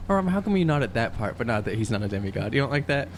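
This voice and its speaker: Man, quick speech, swinging intonation, casual needling banter, tabletop player. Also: medium pitch, big pitch swings, slight background noise.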